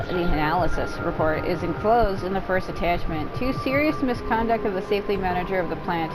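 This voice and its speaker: A woman's voice: lowish voice, rough recording. A woman reading game story text aloud, stumbling over words now and then and adding her own asides. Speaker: gaming YouTuber.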